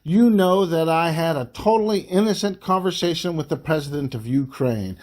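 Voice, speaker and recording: A man reading a formal political letter aloud in an angry, indignant, emphatic voice, breaking phrases into short, deliberate pieces. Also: on the high side, a little mellow.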